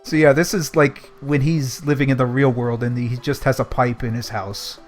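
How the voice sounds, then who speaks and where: A man reads a story aloud in a casual livestream style, slipping into commentary between passages. His pitch sits in the middle range, with faint music underneath. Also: fairly even intonation, moderate pace. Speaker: host and reader of a book club livestream.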